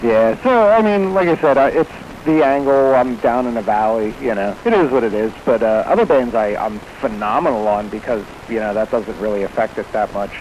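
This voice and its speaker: A man with a mid-pitched voice chats over a noisy CB radio signal. He sounds casual, grumbling in a good-humored way through the static. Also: animated, fairly quick, hardly any pauses, a little mellow, highs cut off. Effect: two-way radio transmission. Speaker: CB radio operator.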